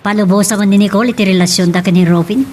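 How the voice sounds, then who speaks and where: Woman as fast-talking, disapproving mother. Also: mid-range voice, fairly even intonation, darkish tone, a little hiss or hum, lightly acted. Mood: challenging. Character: sharp, disapproving middle-aged mother and wife. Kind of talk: Ilocano radio drama acting.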